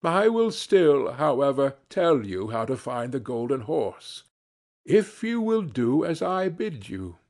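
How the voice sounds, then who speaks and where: A man's voice: mid-range voice, very animated, takes it slowly, darkish tone. A man voicing a wise, helpful talking fox in a storybook, giving formal, old-fashioned instructions. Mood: reproving, instructive.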